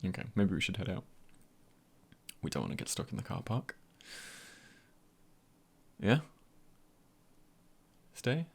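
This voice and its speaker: Man acting as reassuring male buddy, deep bass register, breathy husky tone, intimate ASMR roleplay, gentle, lightly teasing, unhurried. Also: big pitch swings, heavily acted. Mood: calm, soothing. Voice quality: husky, breathy, gravelly low voice. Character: easygoing, comforting male friend, gently playful and reassuring.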